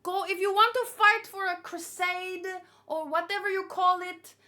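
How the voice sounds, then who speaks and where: A woman's voice: very high-pitched, fairly even intonation, moderate pace, sharp tone. A woman giving informal, opinionated commentary as she hosts a casual live read-along and talks with chat. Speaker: manga read-along host.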